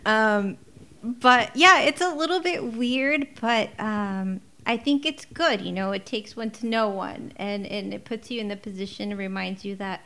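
A woman's voice: mid-range voice, measured pace, sharp tone. A young woman, a therapist from Southern California who was born in Mexico, chatting casually as a podcast guest and laughing often.

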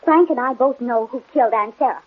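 A woman's voice: a little high, brisk, a crowd in the background, natural voice. A woman playing an insistent, accusing young heiress, speaking earnestly in 1940s radio melodrama style. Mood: firm, accusing. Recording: narrow, tinny old radio broadcast.

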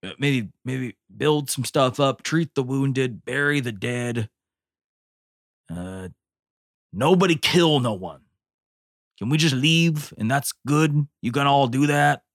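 A man's voice: medium pitch, takes it slowly, no echo, studio quality. A man playing in a tabletop game, improvising casual role-play chat.

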